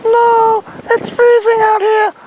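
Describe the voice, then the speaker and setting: A man's voice: very high voice, completely flat delivery, takes it slowly, very noisy. A man voicing a tiny, panicky clay figure stuck in the snow, wailing and whining for help. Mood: panicked. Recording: far from mic over loud music.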